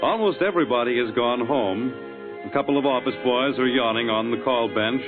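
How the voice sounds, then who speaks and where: A man's voice: medium pitch, brisk. A man announcing and narrating in a scripted 1940s radio drama style. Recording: tinny vintage radio recording. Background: loud music.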